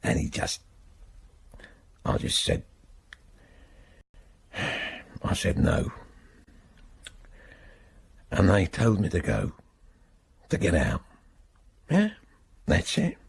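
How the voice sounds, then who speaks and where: An actor with a husky, gravelly voice playing an embittered older ex-prisoner in a radio drama, halting and rambling with blunt turns. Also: male voice, deep voice, wildly swooping pitch, exaggerated voice. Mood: bitter, weary. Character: embittered ageing ex-prisoner protesting his innocence. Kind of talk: naturalistic radio drama acting.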